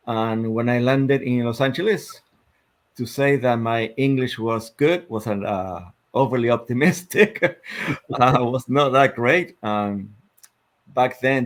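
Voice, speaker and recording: A man in his fifties from Argentina with an Argentine Spanish accent, a guest telling his life story in a reflective, measured way with many fillers. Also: medium pitch. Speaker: guest, sports psychologist. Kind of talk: interview storytelling.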